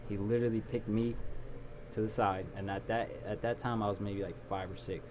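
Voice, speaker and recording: Young guy chatting in a studio interview, kinda low voice, loose and rambly, noisy room. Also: fairly quick. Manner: relaxed storyteller, many ums and restarts. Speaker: guest, video production company owner. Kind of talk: casual interview. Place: small recording studio. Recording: noisy background.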